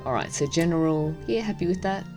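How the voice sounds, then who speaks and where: A woman's voice: deep voice, expressive, fairly quick, over music. A woman hosting a gaming video, casually commenting and reading the story text aloud. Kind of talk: casual game commentary and read-aloud narration.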